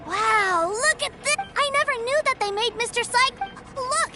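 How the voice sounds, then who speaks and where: A woman voicing an excitable, childlike young boy in a video game, eager and full of exclamations. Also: very high voice, a little slow. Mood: thrilled. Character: excitable young boy, adoring his big brother. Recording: background music under the voice.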